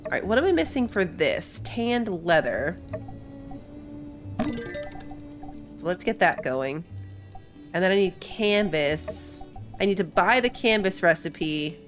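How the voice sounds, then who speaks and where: Female let's play host, relaxed slow pace with lively intonation, casually talking through gameplay over music. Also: on the low side. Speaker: gaming video host. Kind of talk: casual let's play commentary, thinking aloud. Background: game music.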